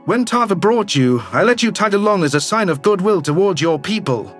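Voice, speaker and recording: A high-pitched male alien politician, acted by a man, formal and guarded in a sci-fi audio drama. He measures out his words in a curt, businesslike way, with music underneath. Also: animated, a little fast, a bit bright. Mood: cool, formal. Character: shifty, self-centered male alien governor, guarded and businesslike. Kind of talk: science fiction audio drama acting.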